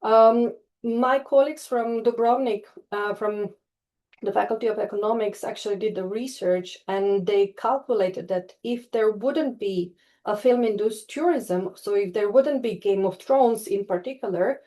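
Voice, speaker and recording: A woman from Croatia presents research in a webinar over a video call. Her voice is mellow and dark-toned. She stops often for fillers and restarts phrases as she builds her points. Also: medium pitch, measured pace. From Istria, Croatia. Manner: hesitant, many fillers and restarts. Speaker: webinar speaker, tourism researcher. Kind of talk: online presentation.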